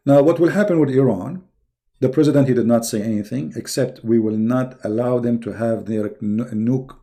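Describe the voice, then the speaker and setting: A man's voice: mid-range voice, dark tone. A man from the Middle East, a native Arabic speaker with an Arabic accent, giving informal, unscripted political commentary as a live-stream host, emphatic and often repeating and rephrasing his points.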